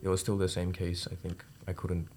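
Young man, low and even-toned. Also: fairly quick, slight background noise. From India and Zambia. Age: teens or young adult. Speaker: student athlete podcast guest. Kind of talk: casual interview chat.

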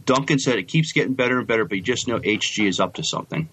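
Halting, lowish-voiced male podcaster. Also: animated, a bit bright, breathy edge, poor audio. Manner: halting, hesitant phrasing with repeated words. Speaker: podcast co-host. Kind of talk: casual chat, reading out listener comments.

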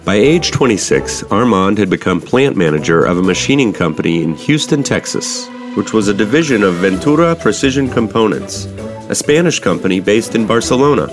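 A man hosting a podcast, reading the intro and a sponsor ad clearly and steadily, then asking his guest questions. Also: on the low side, brisk, over music. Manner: clear, steady read; spells out web addresses. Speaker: podcast host. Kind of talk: podcast intro, ad read and interview.

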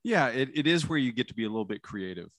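A man who is a criminal defense lawyer talking casually about trial practice, with a loud, carrying voice, acting out courtroom lines and dropping his voice low for effect. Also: quick pace, no echo, clean studio sound. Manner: animated storyteller, acts out courtroom lines, drops voice low for effect.

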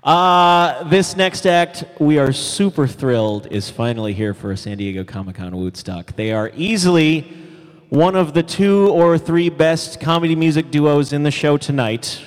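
A man's voice: fairly high pitch, animated, unhurried. A man hosting a live show, giving a casual, enthusiastic stage introduction. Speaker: live show host, announcer. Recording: echoey hall sound with long reverb.

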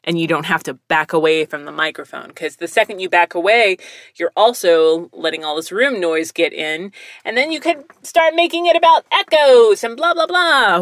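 A woman's voice: on the low side, dry recording. A woman in her thirties, a voice actor chatting casually, telling candid, self-deprecating stories full of acted-out quotes, speaking into a studio microphone in a small home recording setup. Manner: animated storytelling with laughs and acted-out quotes. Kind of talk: casual chat. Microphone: studio microphone with headphones.

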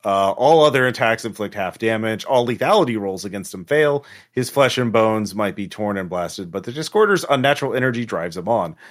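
A man who speaks as game master in a casual post-game explanation, haltingly, trailing into pauses and swearing now and then. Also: fairly low pitch, expressive. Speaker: game master of a tabletop role-playing podcast.

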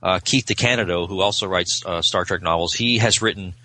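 A man's voice: sits low, a little fast, sharp tone, dry recording. A man chatting casually as a podcast guest, candid and informal, often qualifying his own opinions. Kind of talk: casual chat about TV shows.

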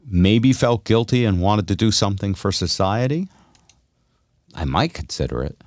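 A man hosting a casual radio show, bantering in a wry, deadpan way. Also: on the low side, normal speed, dry recording, studio quality.

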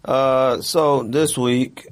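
A man's voice: mid-range voice, fairly even intonation, drawn-out words. A man co-hosting a sneaker podcast, talking in casual, slangy banter with a teasing manner.

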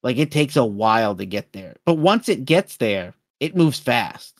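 A man co-hosting a casual film discussion, retelling scenes with animated acted-out quotes and often stumbling over repeated words. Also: mid-range voice, unhurried, dry recording, clean studio sound. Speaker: podcast co-host.

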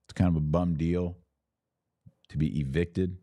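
A man with a low voice hosts a religious podcast in a very dry recording. He speaks informally and directly to his listeners. His delivery is conversational, with firm emphasis on his points. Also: steady tone, a little slow, mellow, no room sound. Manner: emphatic, conversational, acts out imagined dialogue.

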